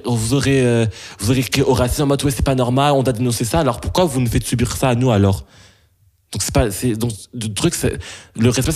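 Halting young Belgian man, mid pitch. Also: darkish tone, husky. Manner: halting, many restarts and fillers. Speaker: interviewee. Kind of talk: hesitant interview answers.

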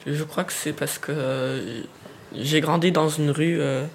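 A man's voice: on the high side, steady tone, unhurried, creaky. A young man, native in Dutch and Portuguese, answering casually and hesitantly with faint noise around him. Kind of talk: casual street interview. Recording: faint ambient background noise.

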